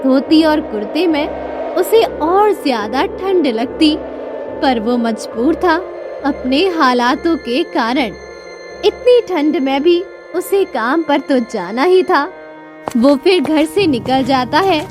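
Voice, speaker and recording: A woman narrating a scripted cartoon story in a dramatic, deliberate storytelling manner. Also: high voice, expressive. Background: music.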